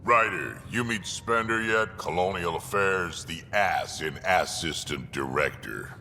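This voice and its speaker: Thousand-year-old alien warrior, gravelly fry, blunt, game dialogue, long reverb. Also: male voice, sits low, lively intonation, a little slow, sharp tone, a little hiss or hum, heavily acted. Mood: gruff, distrustful. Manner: blunt, coarse. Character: ancient gruff alien warrior mercenary, proud grandfather. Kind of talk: video game character dialogue.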